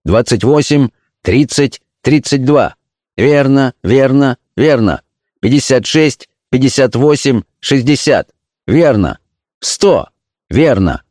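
Formal male club steward done by a male narrator, stern and measured, slightly raised pitch, audiobook style. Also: lively intonation, darkish tone, no echo, clean studio sound. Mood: official, counting. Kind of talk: audiobook character acting.

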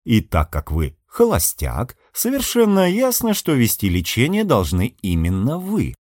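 A portly, aging sea captain as a male narrator plays him, jovial and scheming. He speaks with mock-formal emphasis and big swings of pitch, in a dry storybook recording. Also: wildly swooping pitch, measured pace, darkish tone, no echo. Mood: slyly formal.

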